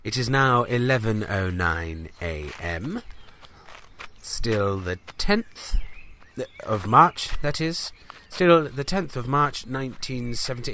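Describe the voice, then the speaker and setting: A man playing a pompous, bumbling small-town newspaper man who rambles in a deadpan way through a comedic audio diary. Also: lowish voice, slight background noise. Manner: rambling, self-important, deadpan. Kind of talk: comedic audio diary monologue in 1970s setting.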